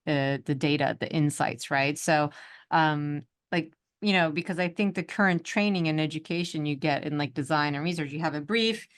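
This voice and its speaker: Lower-pitched woman host, hesitant and filler-heavy. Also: clear, resonant tone. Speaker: podcast host. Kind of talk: casual interview conversation.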